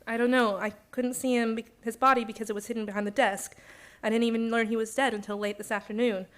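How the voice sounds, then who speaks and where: A woman's voice: a little high, little pitch movement, fast, close to an everyday voice. A woman playing an earnest, insistent young lady witness in an old-time radio drama, explaining with urgent emphasis. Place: theater stage, performed live.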